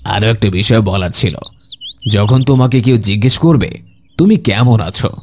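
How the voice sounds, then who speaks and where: A man playing a kindly, pious elderly grandfather who gently admonishes in a measured, instructive way in an Islamic cartoon. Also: lowish voice, noisy background, natural voice. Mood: gently admonishing. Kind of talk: Islamic moral cartoon dialogue.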